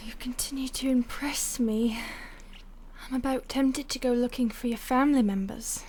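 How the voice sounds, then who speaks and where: Woman acting a breathy, high-pitched seductive vampire. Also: mellow, a little hiss or hum. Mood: teasing menace. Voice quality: breathy, often dropping to a whisper. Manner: teasing, haughty, theatrical. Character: playful, condescending female vampire stalker, predatory and seductive. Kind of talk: intimate audio roleplay addressed to the listener.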